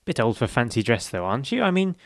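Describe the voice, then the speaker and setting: An actor playing a young, put-upon college librarian in a comic radio play, weary, flustered and sceptical. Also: male voice, mid-range voice, big pitch swings, quick pace, vocal fry, no room sound, clean studio sound. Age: young adult. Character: dissatisfied, fussy, put-upon college librarian, deferential man.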